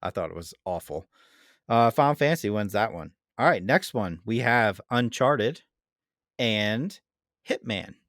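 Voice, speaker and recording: A man's voice: fairly low pitch, animated, unhurried, a bit bright, no room sound, clean studio sound. A man hosting a podcast, chatting casually and informally as he debates. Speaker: podcast host.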